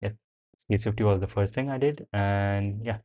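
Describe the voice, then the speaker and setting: A man's voice: lowish voice, normal speed, warm tone. A young man, a native Hindi speaker, streaming and chatting casually while answering chat questions and thinking aloud, with the odd swear word.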